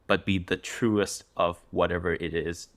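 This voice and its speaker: A man hosting a podcast interview, asking questions in a thoughtful, measured way with some restarts. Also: low voice, fairly even intonation. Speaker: podcast host. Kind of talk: interview conversation.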